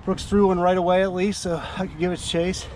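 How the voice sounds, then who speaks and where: A man's voice: high-pitched, flat delivery, fast talker, darkish tone. An American man in his forties, out of breath, shivering and shaken, talking to camera outdoors. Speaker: vlogger, boat skipper. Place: open boat on a river. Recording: clear boat and outdoor noise.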